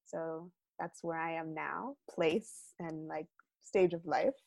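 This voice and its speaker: Low-voiced, thoughtful young woman artist. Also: fairly even intonation, takes it slowly, creaky. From United States. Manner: thoughtful, many fillers and restarts, trailing off quietly. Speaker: guest artist. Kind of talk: reflective interview talk.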